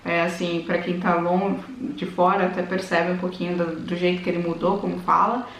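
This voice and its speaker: A woman's voice: on the low side, little pitch movement, brisk. A young Brazilian woman with a Brazilian Portuguese accent, co-hosting a casual vlog and chiming in with short comments. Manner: adds short prompts and comments. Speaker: vlogger co-host. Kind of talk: casual chat. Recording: echoey room, off-mic, faint noise.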